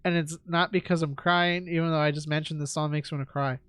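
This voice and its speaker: A young man streaming a game, talking in a halting, reflective way with lots of fillers, his nose stuffed up from congestion. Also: on the high side, fairly even intonation, clear, resonant tone, no room sound. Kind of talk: casual rambling stream chat. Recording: game music loud behind voice. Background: game music.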